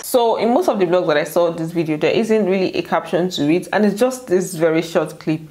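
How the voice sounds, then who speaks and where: A woman's voice: lowish voice, fairly quick, background noise. A Nigerian woman hosting a casual gossip recap, chatty and colloquial, with playful asides. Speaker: YouTube host recapping a reality show.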